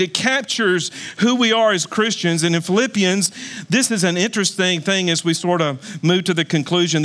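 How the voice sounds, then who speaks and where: Fifties male pastor, emphatic sermon voice pitched high, bright forceful edge. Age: 50s. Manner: emphatic, repetitive preaching with jokey asides.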